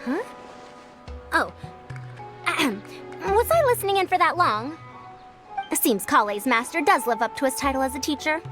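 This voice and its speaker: A woman's voice: high-pitched, very animated, moderate pace, long pauses, over music. A woman voicing a proud, formal, girlish senior scholar in an anime game.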